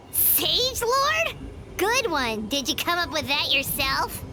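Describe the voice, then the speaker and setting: A woman voicing a small, excitable sidekick with a cheeky, teasing way of talking. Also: very high-pitched, very slow, over loud music, exaggerated voice.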